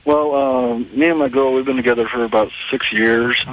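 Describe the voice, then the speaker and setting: A man in his twenties speaks as a caller on a cell phone. His voice is medium in pitch, and he talks in a hesitant, conversational way. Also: fairly even intonation, normal speed, a bit bright, low-quality recording. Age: 20s. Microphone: cell phone call.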